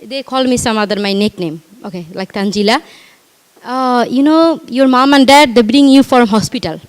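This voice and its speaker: A middle-aged woman from Bangladesh with a Bangladeshi accent, giving an earnest personal speech at a community event, halting and repeating herself as she speaks to the audience. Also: on the high side, in a large hall. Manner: earnest, repetitive, halting, addresses audience directly. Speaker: guest speaker. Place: community hall.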